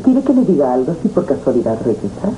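An actress playing a composed, formal, coolly polite middle-aged manageress in a 1970s telenovela. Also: female voice, a bass voice, very animated. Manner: formal, measured. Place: indoor studio set. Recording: muffled, noisy old TV tape.

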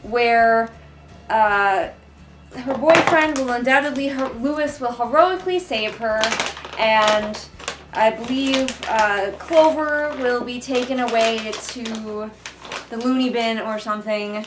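Young woman kinda high voice, snarky casual book rant from the couch, fed up. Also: takes it slowly, background noise. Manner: exasperated, mocking, informal. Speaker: book reviewer reading along. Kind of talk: casual sarcastic commentary on a novel. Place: living room couch, indoors. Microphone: camera a short distance away.

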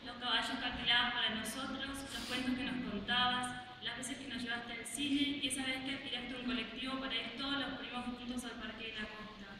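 Young woman, Argentine Spanish, high voice, steady heartfelt eulogy; long church reverb, distant mic. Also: a little fast, few pauses. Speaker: granddaughter giving a eulogy. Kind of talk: reading a prepared farewell speech. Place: large church, indoors. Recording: echoey church from far off, with background noise.

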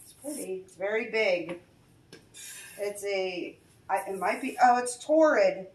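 A woman chatting excitedly as she unboxes items in a storage unit, laughing often. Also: medium pitch, a little slow. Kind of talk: casual chat while unboxing. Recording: echoey and noisy storage unit.

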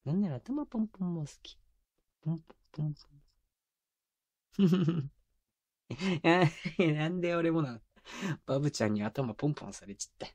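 A man's voice: expressive, moderate pace, pauses often, darkish tone, no echo. A man playing a teasing, doting young boyfriend in an intimate ASMR roleplay, talking casually and playfully with bits of baby talk, close to a binaural mic. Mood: doting, affectionate. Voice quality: mid-low voice. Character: teasing, doting young boyfriend babying his exhausted, clingy girlfriend. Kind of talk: intimate ASMR roleplay drama, addressed to the listener. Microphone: close binaural microphone.

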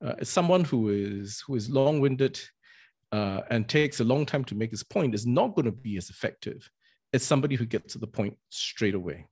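A middle-aged man from Singapore talks earnestly over a video call, pitched at an ordinary middle register. He often restarts his phrases and adds fillers as he explains his points. His voice comes through a webcam microphone. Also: expressive, normal speed, a little mellow, breathy edge. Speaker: barrister and arbitrator. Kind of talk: webinar talk. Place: indoors with virtual green backdrop.

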